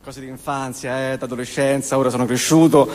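A man in his forties with a Roman accent performs a theatrical comic monologue, using emphatic repetitions and deliberate pauses. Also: mid-range voice, flat delivery, a little mellow. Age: 40s. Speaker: stage performer. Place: live theatre performance in a hall. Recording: long hall reverb of a live venue.

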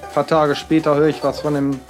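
A man, an artist, telling a rambling personal account in halting speech with frequent fillers and restarts. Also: a little high, little pitch movement, over music.

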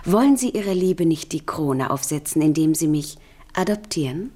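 A young woman of sweet deference and cool ambition. Her lowish voice moves in lively swings, polite and insinuating. The style is radio play acting. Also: normal speed. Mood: deferential, calculating. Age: young adult.

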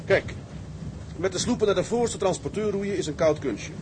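A man's voice: high-pitched, moderate pace, lightly acted. Decisive tugboat captain played by a man. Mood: briefing, authoritative. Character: decisive, level-headed male tugboat captain giving orders. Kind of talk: Dutch radio drama acting. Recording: clear engine and sea ambience noise.

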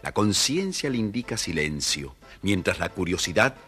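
Male storyteller: low, husky, expressive children's narration over faint music. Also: normal speed. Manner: theatrical, addressing the listeners.

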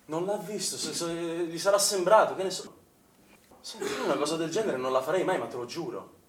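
A man's voice: a little high, fairly quick, natural voice. An actor playing an agitated male swimming instructor in a naturalistic stage drama, defensive and indignant, often stammering and repeating himself. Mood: disbelieving, defensive. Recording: distant, echoing stage pickup with noise.

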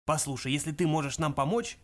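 Man playing a flustered, high-pitched young space adventurer. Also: quick pace, close to an everyday voice. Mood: frantic. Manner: hesitant, fidgety, pleading. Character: flustered, flippant young great-grandson, galactic adventurer.

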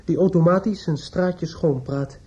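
A man's voice: a little high, unhurried, darkish tone, close to an everyday voice. An actor in a radio play playing a bitter, grieving younger man, formally polite but pointed and reproachful. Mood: scornful. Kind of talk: Dutch radio play acting. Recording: dull old radio recording.

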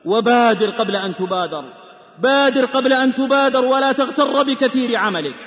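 A man's voice: very high voice, a little fast, a bit bright. A man preaching in a solemn, emotive, declamatory manner, telling stories and exhorting listeners in rhymed phrases. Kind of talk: dramatic sermon with storytelling, rhymed exhortations and verse. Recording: long reverberant hall-like echo on voice.

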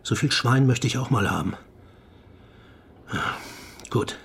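An actor playing a brisk male investigator in a radio play, putting terse questions to a colleague. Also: medium pitch, vocal fry, a little hiss or hum. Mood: brisk, curious.

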